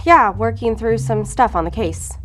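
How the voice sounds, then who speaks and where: A woman's voice: on the high side, moderate pace, music in the background, natural voice. An actress playing a weary, guarded female federal agent in an audio drama, speaking in a curt, controlled way. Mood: strained, dismissive.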